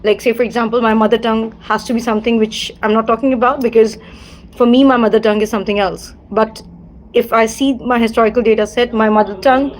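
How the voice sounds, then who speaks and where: A woman's voice: a little high, fairly even intonation, fast talker, slight background noise, poor audio. A woman with an Indian English accent, hosting a livestream, talking in a discursive way and circling back to the same set phrases. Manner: discursive, repeats set phrases, frequent fillers. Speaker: livestream host. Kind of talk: casual livestream monologue.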